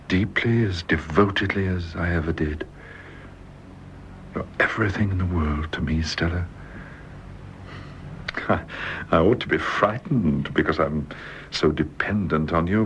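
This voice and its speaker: A British actor in a radio drama playing a bedridden husband, devoted, wistful and resigned, speaking earnestly and haltingly. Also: male voice, sits low, a bit bright. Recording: dull lo-fi radio drama with hiss.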